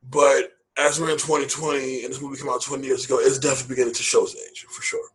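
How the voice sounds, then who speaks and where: A man co-hosting a casual movie podcast, with a bright, forward voice and a breathy, husky edge. He talks loosely and with hype, repeating phrases and dropping slang. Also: mid-range voice, flat delivery, very fast, low-quality recording. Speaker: podcast co-host. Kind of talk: casual movie-review chat.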